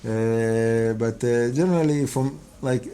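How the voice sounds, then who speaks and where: A rabbi whose first language is Hebrew, answering interview questions in English in a halting, earnest way, often restarting and repeating words. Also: male voice, medium pitch, dark tone, slight background noise. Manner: earnest, devout phrasing. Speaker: rabbi and kabbalah teacher.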